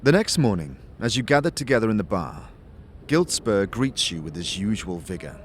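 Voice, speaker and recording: A man narrating a fantasy audio drama as game master, deliberate and suspenseful in his scripted delivery. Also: fairly low pitch, very animated, slight background noise.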